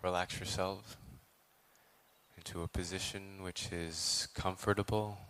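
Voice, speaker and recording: A man with a deep voice leads a guided meditation. His intonation stays very even, with little rise or fall. He speaks as a teacher, in measured phrases separated by long pauses. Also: smooth. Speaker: meditation teacher, Buddhist monk.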